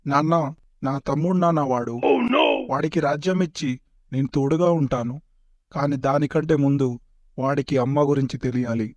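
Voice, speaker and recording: A man's voice: medium pitch, steady tone, normal speed, pauses often, darkish tone, no echo, clean studio sound, close to an everyday voice. A man playing a scheming adult prince, a dutiful elder brother, in a comic cartoon parody. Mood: earnest, plotting.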